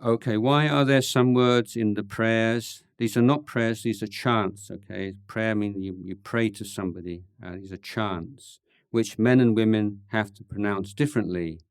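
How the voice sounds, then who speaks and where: A man, a Buddhist monk, answering questions at a meditation retreat in a thoughtful, halting way, with dry gentle humor and many pauses and ums. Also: fairly low pitch, fairly even intonation, clean tone, no echo. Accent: English. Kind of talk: relaxed Dhamma Q&A talk.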